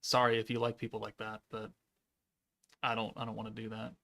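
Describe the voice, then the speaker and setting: Male streamer, 30s, gravelly and deadpan, laid-back monotone chat. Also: fairly low pitch, a little fast. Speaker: gaming streamer. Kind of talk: casual live-stream chat, reading chat aloud.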